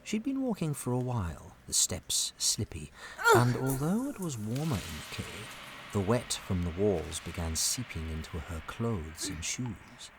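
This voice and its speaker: A man's voice: lowish voice, very animated, a little slow, poor audio. A man narrating a family audio adventure in a scripted storybook style. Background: music under the voice.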